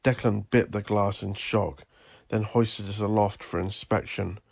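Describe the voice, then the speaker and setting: A man narrating dark horror fiction in a deliberate, literary storytelling manner. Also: lowish voice, fairly even intonation, gravelly.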